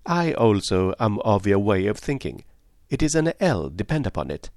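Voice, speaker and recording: A man voicing an animated, precise foreign detective. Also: lowish voice, normal speed, no room sound, studio quality. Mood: brisk, assured. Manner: precise, philosophical, sprinkled with French endearments. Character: Poirot, foreign detective, clever, placid, teasing older man. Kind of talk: audiobook character dialogue.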